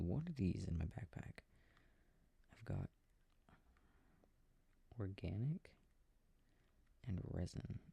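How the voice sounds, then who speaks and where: A man speaking softly and breathily, often near a whisper, quietly musing to himself in an ASMR sleep-aid style while playing a video game. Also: on the low side, vocal fry, husky, no echo. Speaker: audio roleplay performer. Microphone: close microphone. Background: game controller clicking.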